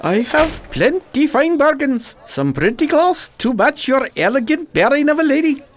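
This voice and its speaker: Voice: sits high, sing-song, normal speed, a little hiss or hum. An actor playing a talkative bazaar shopkeeper in a radio drama, with a foreign accent and broken, emphatic English. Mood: eager selling. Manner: broken English, short emphatic repeated phrases.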